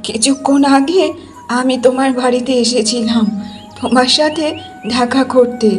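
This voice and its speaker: A woman voicing a poor, humble old village woman in a cartoon, slow and halting, pleading with quiet dignity. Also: high voice, steady tone, faint music behind, natural voice. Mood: gentle, pleading.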